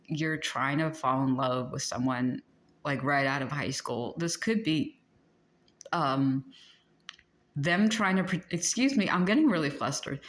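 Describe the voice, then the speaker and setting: A woman giving a casual, earnest tarot reading to camera, chatty and rambling, often correcting herself mid-sentence. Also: deep voice. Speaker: tarot reader.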